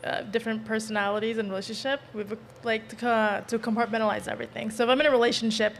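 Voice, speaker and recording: A woman's voice: a little high, little pitch movement, racing, a little hiss or hum. A woman speaking casually as a panelist on a podcast. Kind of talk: casual chat.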